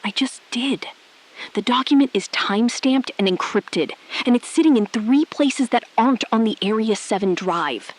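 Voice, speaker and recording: A woman playing a calm, resolute astronaut-scientist in an audio drama, speaking in precise, measured, clipped sentences. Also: on the high side. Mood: controlled, resolute. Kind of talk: scripted audio drama acting.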